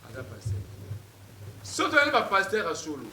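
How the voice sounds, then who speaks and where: A man's voice: high voice, wildly swooping pitch, normal speed. A man speaking as a political talk show guest, emphatic and repetitive, switching between French and Lingala. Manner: emphatic, mixes French and Lingala, repeats names insistently. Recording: echoey room with loud background noise.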